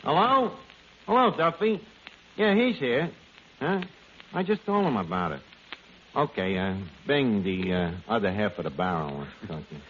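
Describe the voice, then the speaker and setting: An actor playing a brash, scheming tavern manager with a New York accent and streetwise, hemming patter in old radio comedy. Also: male voice, medium pitch, sing-song, moderate pace, fairly bright tone. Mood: wheedling. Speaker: comedy show lead. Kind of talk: 1940s radio comedy acting. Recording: noisy, dull vintage radio recording.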